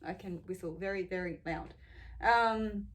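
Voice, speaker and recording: Aussie lady in her 40s, slow easy chat full of ums, bit of faint hiss behind. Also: on the low side. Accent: Australian. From Australia. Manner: rambling, many ums and pauses. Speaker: beauty vlogger. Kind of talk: casual question-tag chat.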